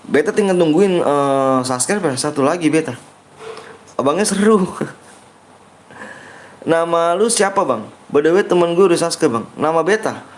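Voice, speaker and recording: A young man hosting a game livestream in a slightly raised voice. He reads chat aloud, jokes around playfully and keeps nudging viewers to like and subscribe, all in loose, casual talk. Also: on the high side. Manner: reads viewer comments aloud and banters, pushing likes and subscribes; playful joking persona. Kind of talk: casual live-stream chat.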